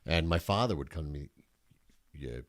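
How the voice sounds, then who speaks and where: A man's voice: a bass voice, expressive, normal speed. A man co-hosting a podcast, joining in casual chat with blunt, joking banter. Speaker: podcast co-host.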